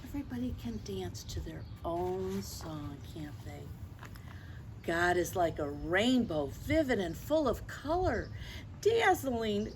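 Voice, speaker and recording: An older woman, in her sixties or beyond, reading a picture book aloud to children in a gentle, expressive way with conversational asides. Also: on the high side, a little hiss or hum, rough recording. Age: 60s or older. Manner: gentle, expressive, addressing children with tag questions. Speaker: children's storyteller.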